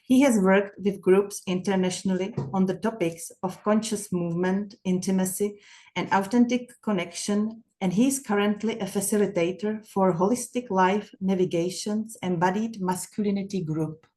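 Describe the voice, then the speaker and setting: A woman hosting an interview, politely reading a guest introduction and asking measured questions. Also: mid-range voice, steady tone, mellow. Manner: polite, measured, reads lists slowly. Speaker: interviewer, festival host.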